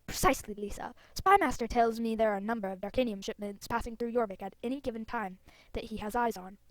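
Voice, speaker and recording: A woman's voice: a little high, quick pace, dry recording, pitched below the performer's own voice, natural voice. A woman reading out the lines of a grave leader of magical riders in a fantasy game. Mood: grave, hushed.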